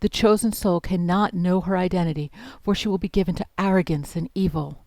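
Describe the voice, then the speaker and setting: Woman acting a mellow, solemn pony overmare. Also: lowish voice, steady tone, brisk, no room sound, natural voice. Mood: solemn, resolute. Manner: formal, solemn. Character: grave female overmare pony, authoritative leader. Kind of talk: dramatic recorded log.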